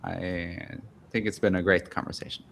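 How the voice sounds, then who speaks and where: A Norwegian-born coach talks as a guest in a calm, measured and upbeat way. His voice sits somewhat low for a man. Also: vocal fry, a little hiss or hum. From Norway. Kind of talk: casual interview chat.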